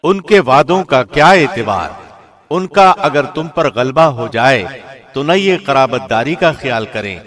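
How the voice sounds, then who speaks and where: A man reading a scripture translation in a formal, measured, solemn way, phrasing clearly like a professional radio presenter. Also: mid-range voice, lively intonation, a bit bright.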